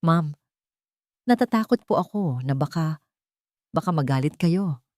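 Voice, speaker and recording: A woman's voice: low voice, animated, unhurried, a little mellow, no echo, clean studio sound. A Filipino woman storyteller voicing a timid, hurt younger woman who speaks respectfully and pleadingly in a romance story. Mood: fearful pleading. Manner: deferential, pleading.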